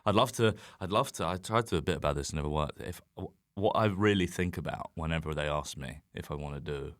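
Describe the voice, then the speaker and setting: A man's voice: extremely low pitch, a little fast, dry recording, studio quality. A young man, a comedian guesting on a podcast, chatting casually in rambling, self-mocking comic riffs.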